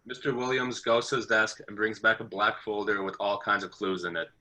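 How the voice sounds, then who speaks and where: A man with a bright, forward tone and a fairly low pitch reads stage directions. It is scripted narration from a video-call table read. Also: little pitch movement, brisk.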